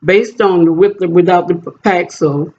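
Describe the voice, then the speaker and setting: Guy w a high voice on a muffled phone call, keeps pressing his point, some hiss. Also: steady tone, measured pace, darkish tone. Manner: insistent, repetitive. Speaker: job applicant. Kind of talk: phone conversation. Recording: dull noisy phone call recording.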